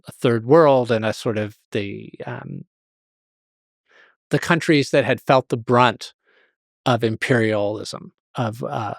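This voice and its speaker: A man's voice: mid-range voice, gravelly, dry recording, studio quality. A man speaking as an expert guest in an interview, explaining hesitantly and often rephrasing himself.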